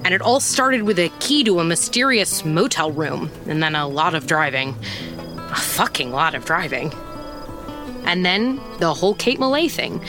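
An actress playing a wry, rattled small-town private detective who narrates in a conversational, casually profane way in an audio drama. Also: female voice, medium pitch, sharp tone. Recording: music under the voice.

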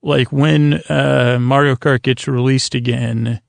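A man hosting a bedtime podcast in a rambling, halting monologue that trails off and circles back. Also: mid-range voice, fairly even intonation, creaky, no room sound. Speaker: podcast host.

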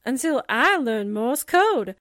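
An actress playing an excitable, wisecracking female sidekick in 1940s-style radio drama. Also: sits high, takes it slowly, no echo, clean studio sound, exaggerated voice. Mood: wry. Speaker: voice actor.

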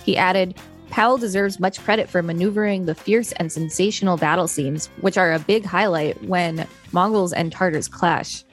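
A woman co-hosting a podcast, reading an article aloud in a steady, even way. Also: on the low side, normal speed, soft background music. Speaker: podcast co-host.

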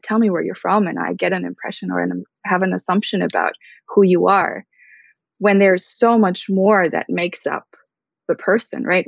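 A woman's voice: on the low side, gravelly, no echo, dull audio. A woman in her thirties from Germany, a podcast guest answering thoughtfully and earnestly, sometimes searching for words. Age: 30s. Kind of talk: reflective conversational interview.

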